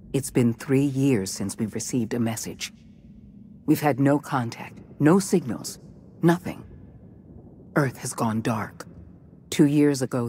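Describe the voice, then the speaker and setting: An actor playing a self-important corporate board leader in a video game, speaking with formal, grave authority. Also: male voice, mid-range voice, a little mellow, slight background noise, lightly acted. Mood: grave, urgent. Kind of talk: dramatic video game acting.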